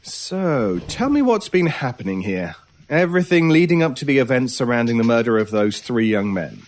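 An actor playing a calm male investigator in a radio horror drama, questioning in a measured, deliberate way. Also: mid-range voice, lightly acted. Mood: calm, probing. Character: calm, probing male investigator of strange events. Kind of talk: old-time radio horror drama acting.

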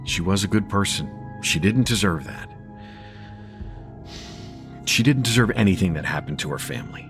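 A man's voice: low-pitched, animated, normal speed, a bit bright, faint music behind. An actor playing a grave, responsible father in a horror audio drama, somber and resolute. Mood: somber, resigned. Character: grave, responsible father running a family campground.

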